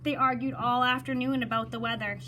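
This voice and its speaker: A woman reading a children's story aloud in an expressive, teacherly manner, pausing to explain words. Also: fairly high pitch, slight background noise.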